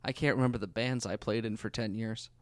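A man's voice: lowish voice, steady tone, quick pace, no room sound. A man co-hosting a podcast in casual banter, joking and teasing as he talks. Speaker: podcast co-host and musician.

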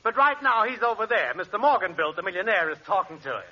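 A snooty hotel clerk, acted by a man, mocks his customers in a high, piercing voice. The sound is a muffled old radio recording with faint hiss. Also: animated, fast, bright, forceful tone, slightly rough, heavily acted. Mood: haughty. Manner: theatrical, scornful. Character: mocking, cackling male hotel desk clerk. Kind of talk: 1940s radio comedy acting.

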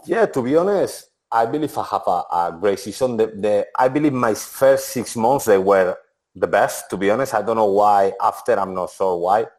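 Deep-voiced man, English speaker. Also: expressive, normal speed, darkish tone. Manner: non-native English, frequent "to be honest" and restarts. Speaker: interview guest, former footballer. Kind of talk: relaxed interview answers.

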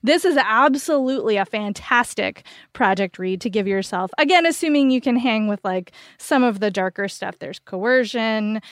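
A woman's voice: a little high, animated, normal speed, hardly any pauses, no echo, studio quality. A woman co-hosting a book podcast, giving recommendations in a casual, enthusiastic and emphatic way.

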